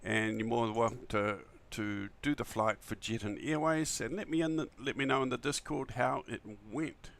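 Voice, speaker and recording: Croaky New man streaming casually. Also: mid-range voice, fairly even intonation, moderate pace, hardly any pauses, fairly bright tone. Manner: friendly, enthusiastic, rambling. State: early-morning croaky voice, still waking up. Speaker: flight simulator streamer. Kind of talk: casual livestream chat.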